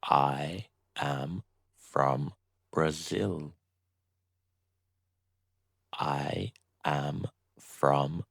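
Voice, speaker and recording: Super slow deep-voiced guy teaching basic phrases, repeats everything twice, dry sound. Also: creaky, no echo. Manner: slow, deliberate, says each short sentence twice. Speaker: English teacher. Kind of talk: slow scripted beginner lesson.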